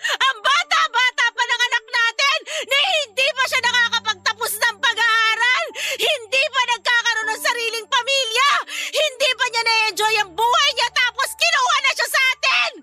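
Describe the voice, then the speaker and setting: A woman's voice: normal speed, few pauses, music in the background. A woman playing an anguished, accusing grieving mother in a Filipino radio drama, with melodramatic tearful outbursts. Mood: sobbing, anguished. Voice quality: shrill, tearful.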